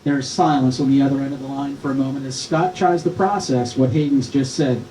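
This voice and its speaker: A man's voice: mid-range voice, fairly even intonation, brisk, warm tone. A man reading stage directions as scripted narration at a screenplay table read. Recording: echoey room with faint noise.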